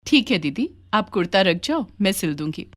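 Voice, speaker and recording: A woman's voice: medium pitch, very animated, normal speed, fairly bright tone. A woman voicing a kindly, hardworking village mother in a children's story, polite and affectionate. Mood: resigned. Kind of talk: acted dialogue in a children's moral story.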